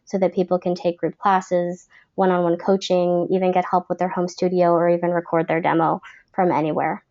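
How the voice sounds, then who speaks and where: A woman's voice: on the low side, little pitch movement, fairly quick, dull audio. A woman coaching voice actors in a live webinar, answering questions in a friendly, chatty way with many fillers. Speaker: voice acting coach. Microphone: webcam call.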